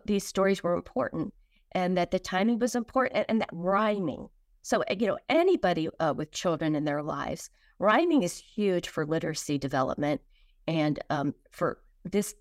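A woman with a slightly low voice, recorded dry and close. She explains things conversationally as a guest author, earnest and leaning on her key points. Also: no echo. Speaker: guest, children's book author.

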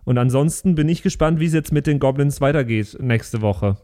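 A man with an average-pitched voice, chatting loosely as a podcast co-host. He banters casually, with a halting delivery that often repeats words. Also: mellow.

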